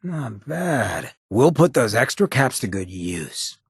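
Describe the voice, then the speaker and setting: An actor voicing a cocky, roguish, streetwise ghoul companion in a video game, with a very raspy, gravelly voice and a sly, laid-back swagger. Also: male voice, mid-range voice, sing-song, slow pace, no room sound, clean studio sound, exaggerated voice. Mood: cocky, coaxing.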